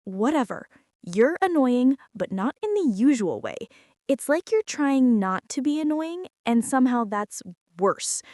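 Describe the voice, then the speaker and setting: A woman's voice: on the high side, darkish tone, no room sound, clean studio sound. A woman playing a bratty teenage girl bully in an ASMR roleplay, whining and sarcastic as she complains straight to the listener. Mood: grudging, defensive. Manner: whiny, sarcastic, exasperated complaining with sighs. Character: bratty teenage girl bully, grumbling, secretly softening toward tentmate.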